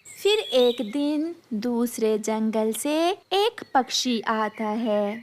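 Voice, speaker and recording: A woman narrating a children's cartoon story in slow, clear storytelling. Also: on the high side, animated.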